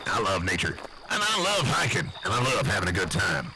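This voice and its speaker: A man's voice: low-pitched, a little fast, a little hiss or hum, exaggerated voice, rough recording. A man voicing a blustery, nervous backwoods uncle with an American accent, rambling and swearing in an improvised comic monologue. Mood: boastful, rambling. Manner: rambling, folksy, profane, excitable.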